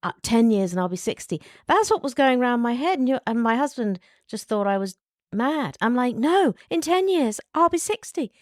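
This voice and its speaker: A woman's voice: fairly high pitch, big pitch swings, normal speed, no room sound, studio quality. Reflective woman in her fifties. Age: 50s. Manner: reflective, thinks aloud in rambling restarts. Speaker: podcast co-host. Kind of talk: casual chat.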